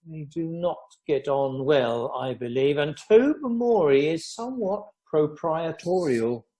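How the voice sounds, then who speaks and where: A wry, measured gentleman of country-house society, acted by a man in a radio play. His voice is mellow and slow, never ruffled. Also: on the high side.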